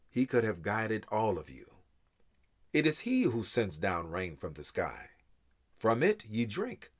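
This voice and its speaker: Deep-voiced man reciting scripture, widely varied pitch. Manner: measured, deliberate delivery with dramatic emphasis and pauses. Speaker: reader of scripture translation. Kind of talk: solemn scripture recitation in English.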